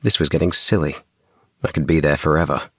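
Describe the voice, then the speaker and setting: A man with a very deep, creaky voice narrating a children's bedtime audiobook in the first person. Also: steady tone, a little fast, bright, forceful tone, no echo, clean studio sound. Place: small home recording booth. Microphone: close mic in small DIY recording booth.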